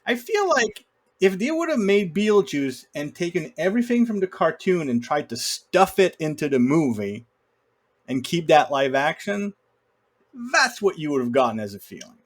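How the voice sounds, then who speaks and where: A man's voice: fairly high pitch, big pitch swings, normal speed. A man co-hosting a podcast, casually discussing film and working through his points in an explanatory way. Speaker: podcast co-host.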